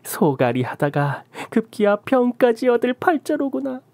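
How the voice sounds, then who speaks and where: A woman's voice: fairly high pitch, sing-song, moderate pace, mellow, dry recording, pitched above the performer's own voice. A woman voicing a brash young female shaman who scolds in coarse, old-fashioned talk, in a dry recording. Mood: pitying. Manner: scornful, old-fashioned rough talk, drawn out.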